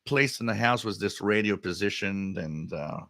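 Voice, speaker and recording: Male livestream host. Slightly low, crisp bright tone, halting off-the-cuff delivery, casual replies to chat. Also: no room sound, studio quality. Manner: halting, thinking aloud. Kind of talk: casual live stream chat, answering viewer comments.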